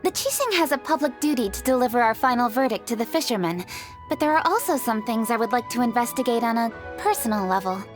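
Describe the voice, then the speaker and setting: An actress playing a poised, dutiful young city official in a fantasy video game, speaking in an articulate, earnest way. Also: female voice, a little high, lively intonation, quick pace, over music, natural voice. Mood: businesslike. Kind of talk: video game character acting.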